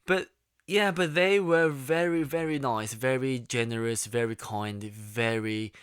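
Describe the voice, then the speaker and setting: A young man with a Korean accent talks to his viewers in a slow, lower voice, full of restarts and fillers. His voice is clear and smooth, recorded close and dry with no room sound. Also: fairly bright tone, dry recording. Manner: halting, many restarts and fillers, searching for words. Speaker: YouTube vlogger. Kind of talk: casual monologue.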